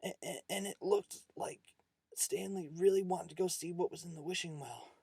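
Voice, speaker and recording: A man's voice: sits high, fairly even intonation, moderate pace, creaky, darkish tone, slightly rough, dry recording, pitched above the performer's own voice, exaggerated voice. A man voicing an anxious young schoolboy in a spoken story, hesitant but eager.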